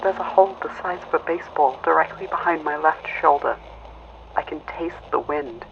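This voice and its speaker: A man voicing a wounded young female imperial mech pilot, prickly and anxious, in a clipped, halting way over a radio filter for an audio drama. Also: on the high side, creaky, muffled recording, pitched above the performer's own voice. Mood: anxious, hurting. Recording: fuzzy band-limited radio with faint noise.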